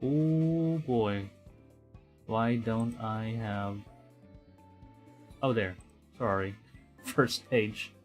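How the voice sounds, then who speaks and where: A man's voice: on the low side, extremely slow, pauses often, soft background music. A young man from the Philippines chatting casually while streaming a game, rambling and correcting himself as he goes. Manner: rambling, self-correcting, quietly talking to himself. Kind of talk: casual gameplay commentary.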